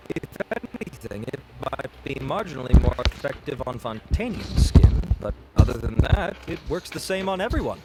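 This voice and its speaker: A man's voice: medium pitch, lively intonation, moderate pace, hardly any pauses, very noisy, lightly acted. A man playing a courteous, vain beauty researcher in an anime game, speaking in a polite, self-assured, formal way. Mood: courteous, proud.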